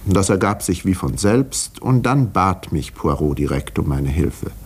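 Low-voiced, wry doctor narrator. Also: male voice, sing-song, a little mellow, slightly rough, full character voice. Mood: measured, reflective. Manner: measured, literary, dryly wry storytelling. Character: doctor narrating a murder case, observant, dryly ironic. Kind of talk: 1950s German radio play narration.

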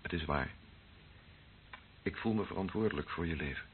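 Deep-voiced troubled clergyman, hissy old recording. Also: lively intonation. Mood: tender, earnest. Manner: grave, halting, pleading. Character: earnest, troubled clergyman, pleading with lost love. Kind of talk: radio drama acting. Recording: narrow phone-like band, hissy old recording.

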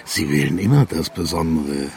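An actor playing an older retired East German army colonel in a radio drama, jovial, nostalgic and given to exclamations. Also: male voice, extremely low pitch, normal speed, a little mellow, a little hiss or hum. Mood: animated, proud.

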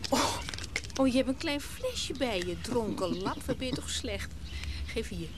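A woman's voice: on the high side, animated, a little slow, music in the background. An actress playing a nervous, wistful, newly widowed woman who halts, stammers and repeats words in a naturalistic radio drama. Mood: melancholy, tender.

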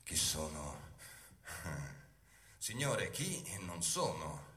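An actor playing a sly, mocking schemer in a theatrical monologue, declaiming and taunting between murmurs and shouts. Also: male voice, a bass voice, big pitch swings, very slow, heavily acted. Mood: sly, riddling. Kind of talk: theatrical monologue as a scenic concert with music. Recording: echoey stage sound, distant voice.

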